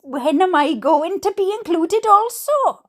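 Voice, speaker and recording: A Welsh woman reads aloud in a notably high voice. Her pace is unhurried, and her delivery is expressive and playful, with asides in her own words. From Wales. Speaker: host reading letters aloud. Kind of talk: dramatic reading aloud with amused asides.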